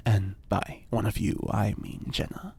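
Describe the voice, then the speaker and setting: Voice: deep voice, little pitch movement, unhurried, creaky, a little mellow, breathy edge, full character voice. An actor playing an eccentric, pompous mad scientist and cult-style ritual leader in a podcast drama, speaking in a grandiose, pedantic way. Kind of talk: audio fiction podcast acting.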